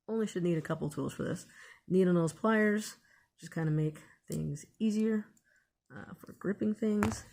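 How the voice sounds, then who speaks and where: Woman, DIY host, gravelly creak, unhurried pace, casual and unscripted. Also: lowish voice, darkish tone. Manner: unscripted, thinking aloud while working. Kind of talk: casual hands-on repair tutorial.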